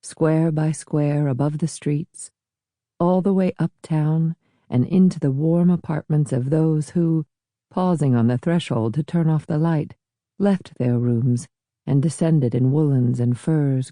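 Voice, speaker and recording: A woman's voice: sits low, a little slow, warm tone, no room sound. A woman reading scripted literary narration for an audiobook.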